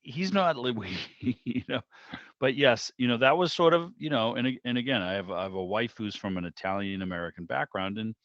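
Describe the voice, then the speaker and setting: A man's voice: mid-range voice, fairly quick, fairly bright tone. A man hosting a casual interview, talking in a rambling, discursive way. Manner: rambling, discursive, circles around his point. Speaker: interviewer, club meeting host. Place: indoors on a video call. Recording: muffled, roomy video-call audio.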